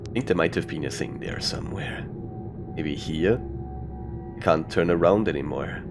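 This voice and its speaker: A man's voice: deep voice, expressive, normal speed. A man giving casual gameplay commentary, reading text aloud and muttering asides to himself as he plays. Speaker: game streamer. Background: game music.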